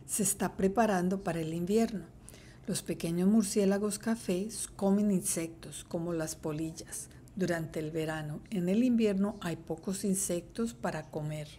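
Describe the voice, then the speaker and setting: A woman teacher reading a children's picture book aloud, slowly and carefully. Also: lowish voice, dark tone, a little hiss or hum. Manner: slow, careful read-aloud. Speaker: third-grade teacher.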